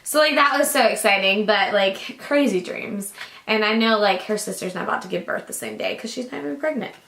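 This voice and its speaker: Young woman vlogger, casual and rambling, groggy raspy voice from a lingering cough, mid pitch, echoey room. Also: a little fast, fairly bright tone. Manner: chatty, rambling, lots of likes and ums. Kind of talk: casual chat to camera.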